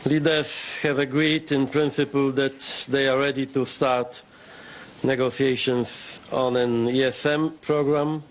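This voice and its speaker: A man delivering a formal press statement in a deliberate way. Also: medium pitch, slight background noise, poor audio. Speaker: official giving a statement.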